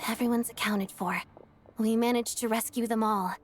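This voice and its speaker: Woman voicing poised, higher-pitched young soldier. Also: steady tone, a little slow, music in the background, close to an everyday voice. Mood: composed, courteous. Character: composed, courteous young female soldier and ballerina. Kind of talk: video game voice acting.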